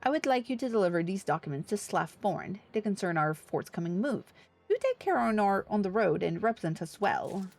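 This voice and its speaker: A woman's voice: medium pitch, sing-song, fairly quick, darkish tone. A woman reading aloud the lines of an earnest, formal leader of a fantasy order in a video game. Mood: wry, formal. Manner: formal, solemn, oratorical.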